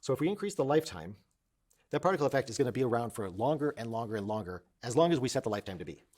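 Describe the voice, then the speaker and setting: Man, software instructor, quick pace, mid-pitched voice, informal, explanatory, thinks aloud, restates points. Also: very animated. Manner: informal, explanatory, repeats himself to clarify. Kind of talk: casual screen-share tutorial, thinking aloud.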